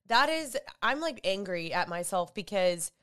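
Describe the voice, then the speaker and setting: A young woman hosts her podcast in a chatty, upbeat way, peppering her talk with "like". Her voice is smooth and clear even through a stuffy, congested nose, and it sits at a middle pitch. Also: a little slow. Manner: chatty, upbeat, with filler likes. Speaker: podcast host. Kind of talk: casual solo podcast talk.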